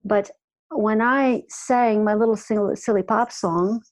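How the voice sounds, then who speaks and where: A woman in her forties from Croatia, guesting on a podcast, talking in a warm, chatty way with frequent restarts. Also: medium pitch, unhurried, low-quality recording. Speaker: guest, music artist and life coach. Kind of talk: casual podcast interview.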